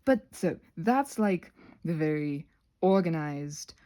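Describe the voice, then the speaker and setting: A woman hosting a casual craft tutorial, thinking aloud in a scattered, self-interrupting way with playful, expressive exclamations. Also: low-pitched, slow. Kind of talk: rambling casual craft narration.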